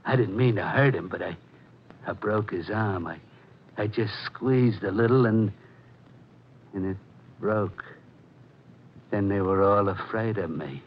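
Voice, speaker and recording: An actor in a 1940s radio drama playing a huge, dazed, childlike and lonely man who speaks in halting, simple, repeated phrases. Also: fairly low pitch. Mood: sad, childlike. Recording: dull, noisy 1940s radio recording.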